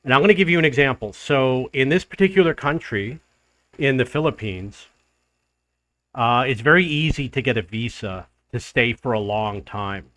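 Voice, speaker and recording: Older man, loud voice, mid-pitched, casual rambling storyteller, dead dry sound. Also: animated, normal speed, dry recording. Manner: rambling, restarts and loops back in the story. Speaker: vlogger living abroad.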